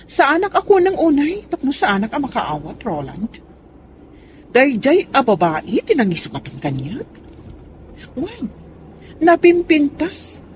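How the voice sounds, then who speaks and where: A woman's voice: high voice, wildly swooping pitch, measured pace, long pauses, full character voice. A woman with a Filipino accent playing a hurt, protective wife and mother in a radio drama. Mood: hurt, reproachful. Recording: clear background ambient noise.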